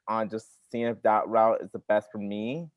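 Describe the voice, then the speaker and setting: A man whose first language is Spanish, sharing personal experiences earnestly in a workshop over a video call, with halting restarts. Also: fairly low pitch, level tone, smooth, no echo, dull audio. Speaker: workshop participant, credential student.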